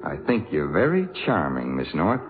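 An actor playing a modest, awkward young jazz trumpeter, hesitant and sparing with words, in a 1950s radio drama. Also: male voice, rumbling low, huge pitch swings, moderate pace, long reverb tail, exaggerated voice. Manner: hesitant, laconic. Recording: muffled 1950s radio broadcast, faint music.

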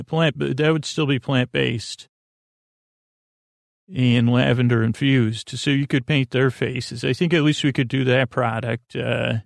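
A man hosting a sleep podcast, rambling softly with many fillers and restarts in a lulling, creaky voice. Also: medium pitch, dry recording, clean studio sound. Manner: meandering, halting, full of fillers and restarts; soft, lulling, unhurried.

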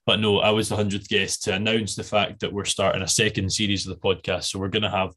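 A man's voice: on the low side, flat delivery, fast, bright, forceful tone. A man in his twenties hosting a podcast, chatting casually and rambling a bit. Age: 20s. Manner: rambling, many fillers and restarts. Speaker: podcast host.

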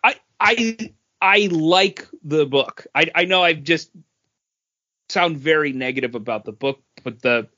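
A man's voice: on the high side, a little slow, pushed and bright. A man talking casually and bluntly as a podcast panelist giving a review. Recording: dull, lo-fi audio.

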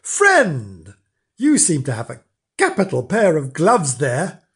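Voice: mid-range voice, slow pace, slightly rough, heavily acted. Mister Vinegar, eager foolish countryman, read aloud. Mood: eager wishful. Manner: eager, wistful, excitable.

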